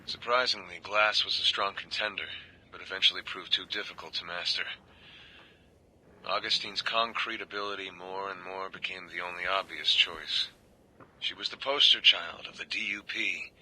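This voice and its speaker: An actor playing a world-weary government agent, confiding troubled reports in measured video game audio logs. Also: male voice, deep voice, fairly even intonation, pushed and bright, a little hiss or hum, low-quality recording. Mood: matter-of-fact.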